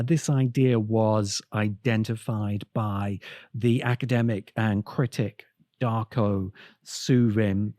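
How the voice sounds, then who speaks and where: A man with a soft-edged, mellow voice narrates a thoughtful essay in a slow, deliberate way. He leaves dramatic pauses inside his sentences. The sound is dry and close, with faint music underneath. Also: medium pitch, no echo. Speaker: podcast host, critic. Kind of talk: essay-like scripted commentary on science fiction.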